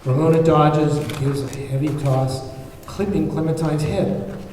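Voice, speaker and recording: Mellow male narrator in a very echoey hall. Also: fairly high pitch, fairly even intonation, unhurried. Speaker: narrator reading stage directions. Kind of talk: scripted screenplay narration at table read. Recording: very echoey hall, far mic, faint noise.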